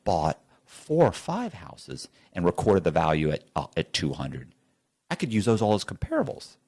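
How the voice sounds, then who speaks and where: A man's voice: low voice, huge pitch swings, moderate pace, gravelly, a little mellow, breathy edge. A man being interviewed, telling stories in a rambling, blunt way and acting out both sides of conversations. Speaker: interview guest. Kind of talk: animated storytelling with acted-out dialogue. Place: studio.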